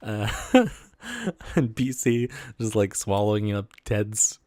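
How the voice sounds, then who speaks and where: Guy doing a casual vlog read-aloud, halting n giggly, slow, really dry sound. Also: lowish voice, fairly even intonation, warm tone, no room sound. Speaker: video host reading book aloud.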